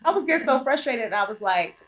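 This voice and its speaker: A woman's voice: a little high, lively intonation, quick pace, crowd noise. A woman hosting a podcast, chatting casually, laughing often and addressing listeners directly. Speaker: podcast host. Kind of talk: casual chat.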